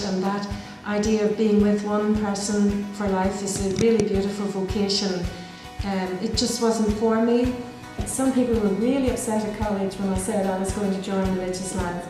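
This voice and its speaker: A middle-aged woman with a Belfast accent, a Dominican sister speaking thoughtfully about her life, with frequent ums and restarts. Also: medium pitch. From Northern Ireland. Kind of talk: reflective personal interview. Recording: echoey room with music underneath.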